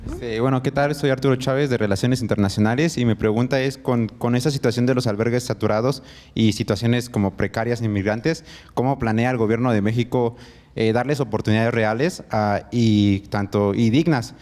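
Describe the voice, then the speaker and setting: A man's voice: medium pitch, darkish tone. Hesitant young man asking a question in a hall. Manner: hesitant, halting. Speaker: student audience member. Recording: long hall reverb.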